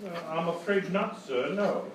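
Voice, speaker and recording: A man's voice: sits high, normal speed, lightly acted. A man playing a snobbish, genteel city dandy with an affected, fussy, over-polite manner in a radio comedy sketch. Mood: prim dismay. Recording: very echoey live stage, distant, faint noise.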